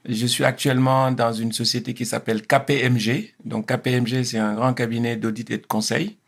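A man in his fifties from Senegal, a guest on a talk show. His voice has a gravelly, fry-tinged edge. He answers at a measured pace, pausing and restarting often as he gathers his thoughts. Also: medium pitch, level tone. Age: 50s. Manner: hesitant, many fillers and restarts. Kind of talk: interview answers.

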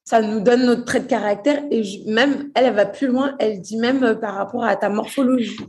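Young woman from Portugal, interview guest; higher pitch, hesitant, fillers. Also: brisk, a little mellow. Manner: hesitant, many fillers and restarts. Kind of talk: casual interview chat.

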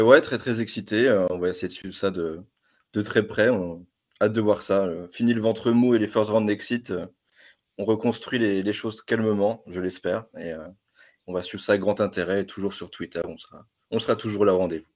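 Male guest, lower pitch, upbeat casual chat. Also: normal speed. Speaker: guest, fan account runner.

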